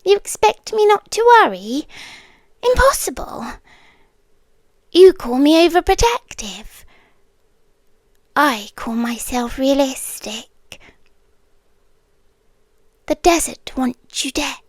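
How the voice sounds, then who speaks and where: An ancient, inhuman girlfriend played by a woman speaks in a high, breathy British voice that often slips into whispers. She is possessive yet wryly polite, taking her time over each phrase. The ASMR roleplay sounds intimate and very dry, with no room around it. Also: animated, no echo, heavily acted. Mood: insistent, protective. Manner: soft, breathy, half-whispered, deliberate, wryly courteous. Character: possessive ancient long-tailed nonhuman yandere girlfriend, centuries old.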